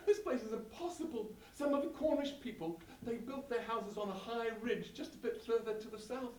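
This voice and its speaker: A woman's voice: on the high side, moderate pace, dark tone, pitched above the performer's own voice, lightly acted. A woman voicing a fretful young settler bride who exclaims breathlessly and laughs nervously, recorded roomy and from a distance with faint noise behind. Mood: distressed.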